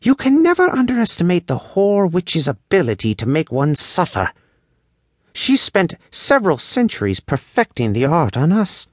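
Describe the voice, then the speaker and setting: A man voicing a tiny, proud male elf in a high-pitched storybook voice, with grudging and old-fashioned formal speech. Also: huge pitch swings, normal speed, dry recording, studio quality. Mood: grim. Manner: grudging, archaic formal phrasing. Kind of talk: audiobook character voice.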